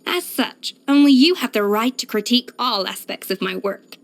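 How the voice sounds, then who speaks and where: An actress voicing a proud, exacting young pastry chef in a video game, poised, self-assured and precise in manner. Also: female voice, fairly high pitch, lively intonation, normal speed. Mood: poised, confident. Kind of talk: scripted video game character voice lines.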